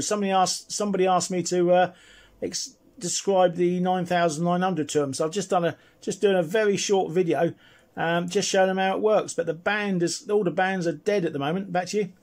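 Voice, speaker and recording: A man chatting casually and at some length as he demonstrates a CB radio, in a friendly, slightly husky voice. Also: sits high, darkish tone. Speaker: CB radio reviewer and operator. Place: indoors at a home radio desk.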